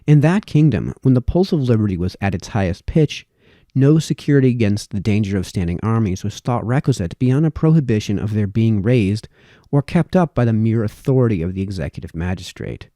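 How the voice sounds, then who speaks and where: A man reading formal historical essays aloud, with measured, emphatic phrasing that falls away at sentence ends. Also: lowish voice, expressive, dark tone, no echo, clean studio sound.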